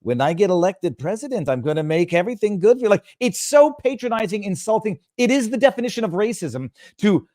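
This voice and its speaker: A man's voice: sits high, very animated, a little fast, hardly any pauses, mellow, dry recording, studio quality. A man from Montreal, giving emphatic, indignant political commentary on a remote call, often stumbling and restarting. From Montreal, Canada. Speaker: guest commentator.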